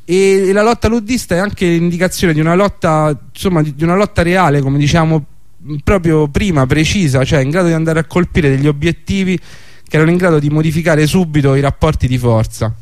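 A man in an unscripted radio discussion, speaking haltingly and circling back to restate his phrases. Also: on the high side, no room sound.

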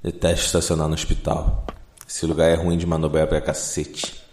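An actor playing a cynical, world-weary police detective in a noir audio drama, with a blunt, sardonic delivery and a Brazilian accent. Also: male voice, extremely low pitch, completely flat delivery, moderate pace, reverberant, noisy background. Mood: dry, sardonic. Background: music.

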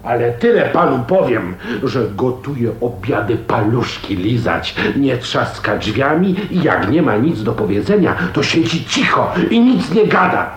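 An actor playing a rough, defiant rustic older man in a radio drama, folksy, blustering and mockingly polite. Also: medium pitch, very animated, measured pace, few pauses. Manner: folksy, blustering, mock-deferential. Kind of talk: Polish radio drama acting. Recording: roomy echo, faint noise, 1980s radio drama.

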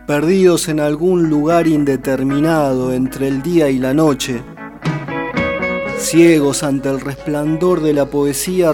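A man reading a poetic scripted radio promo as an announcer. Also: on the high side. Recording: guitar music bed behind voice. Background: plucked guitar music.

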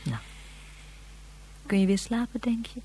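A woman playing a gentle mother who softly soothes her son after a nightmare. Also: fairly high pitch, animated, a little slow, a little hiss or hum, low-quality recording. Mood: soothing. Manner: soft, soothing. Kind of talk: radio drama acting.

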